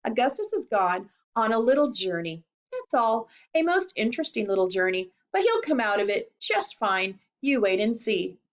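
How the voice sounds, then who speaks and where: A woman's voice: on the high side, big pitch swings, normal speed, hardly any pauses, lo-fi sound. A woman voicing a blithe, unflappable, eccentric man who makes sweets in a children's story, breezy, emphatic and soothing. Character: blithe, eccentric, unflappable male confectionery maker.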